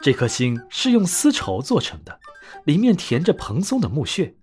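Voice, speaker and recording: A man narrating a scripted bedtime story for children in an expressive, clearly phrased way. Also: fairly high pitch, normal speed. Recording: soft music under narration.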